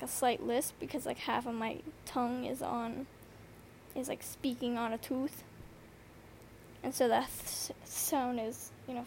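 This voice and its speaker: A woman's voice: a little high, a little mellow, a little hiss or hum. A teenage girl with a slight lisp, tired and rambling through a casual solo podcast, swearing often and full of fillers. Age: teens. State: tired from lack of sleep. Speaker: podcast host. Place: noisy bedroom. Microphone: earbud microphone.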